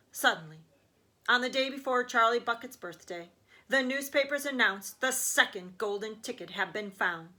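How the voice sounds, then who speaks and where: A woman's voice: mid-range voice, pushed and bright. A woman reading a children's story aloud as a teacher would, with emphatic storytelling phrasing.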